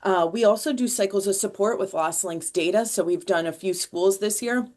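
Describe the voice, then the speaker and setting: A woman presenting at a school committee meeting, measured and pausing often with fillers. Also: medium pitch, a little mellow. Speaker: multilingual learner department staff presenter.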